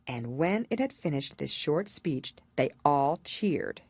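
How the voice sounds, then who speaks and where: A woman reading a children's story aloud as an expressive audiobook narrator. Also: sits low, slow pace, slightly rough, no echo. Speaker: audiobook reader.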